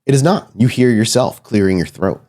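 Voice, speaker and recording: A man working as game master, improvising suspenseful, deliberate narration for a horror tabletop roleplaying game. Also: mid-range voice, animated, a little mellow. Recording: music behind the voice. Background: background music.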